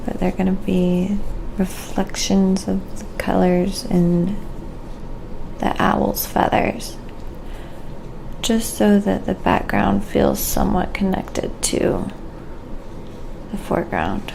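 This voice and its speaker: Slow-paced, creaky-voiced woman streamer. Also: medium pitch, animated, background noise. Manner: thinks aloud step by step while painting. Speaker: live-streaming painter, host. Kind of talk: casual live painting narration to viewers, quiet creative ASMR.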